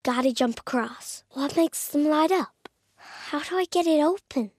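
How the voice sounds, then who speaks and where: A woman's voice: high voice, measured pace, no echo, studio quality. An actress voicing a curious, brave young outcast girl in a video game, asking questions and often whispering as she sneaks.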